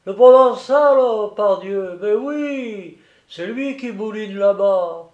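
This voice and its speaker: A man voicing a drunken, jovial, boastful lighthouse keeper in a dramatised audiobook, with loud, coarse, exclamatory seaman's talk. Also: high voice, slow pace, roomy sound, muffled recording, voice pushed up. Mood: tipsy, rowdy.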